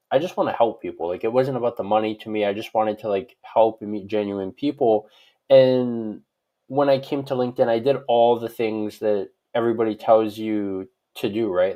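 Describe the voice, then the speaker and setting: A man's voice: on the low side, warm tone. Man from Hawaii, rambling conversational guest. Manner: rambling, peppered with "like" and "right?". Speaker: guest expert on social media profiles. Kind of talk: conversational interview answers.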